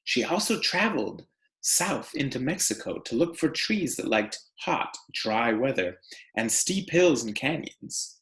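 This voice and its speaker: Man, teacher's read-aloud, unhurried, expressive intonation, emphatic phrasing, conversational asides, echoey room. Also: mid-range voice. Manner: deliberate, emphatic phrasing with rhetorical questions for young listeners. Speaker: teacher reading a picture book aloud to students.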